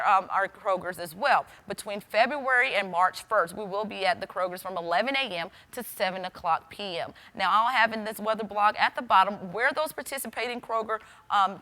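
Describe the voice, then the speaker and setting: Woman tv weather presenter explaining how to use a gadget, bright crisp-edged voice, talking right to viewers. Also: medium pitch. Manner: explanatory, addresses the viewer directly. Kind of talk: instructional product demonstration to camera.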